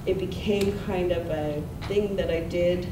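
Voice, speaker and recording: A woman's voice: fairly low pitch, steady tone, measured pace. A woman in her forties from Nunavut, introducing herself on a panel in an open, conversational way. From Nunavut, Canada. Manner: open, conversational, laughs easily. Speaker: symposium presenter. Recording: echoey hall, distant mic, noisy.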